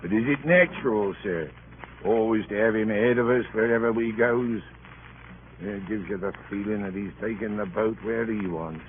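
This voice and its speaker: An actor playing a suspicious seaman in a radio drama, grumbling in rough, colloquial speech. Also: on the low side, normal speed, noisy background, lightly acted. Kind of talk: old-time radio drama acting. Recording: tinny, band-limited old radio recording.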